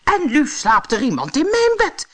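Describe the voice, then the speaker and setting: A woman voicing excitable little male dwarfs in a fairy tale audiobook, exclaiming in short bursts. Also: high voice, moderate pace, a little hiss or hum, voice pushed up. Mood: startled.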